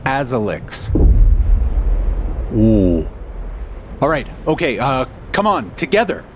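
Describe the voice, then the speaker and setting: A man playing a hesitant, teasing adventurer in a fantasy audio drama, with a halting colloquial manner. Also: mid-range voice, very animated, a little hiss or hum. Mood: urgent, awed.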